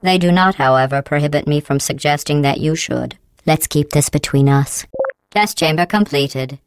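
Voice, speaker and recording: A woman voicing a cold, passive-aggressive female AI that runs a test facility in a video game, speaking in a deadpan, mock-polite monotone through synthetic robotic processing. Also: low voice, moderate pace, clear, resonant tone, no room sound.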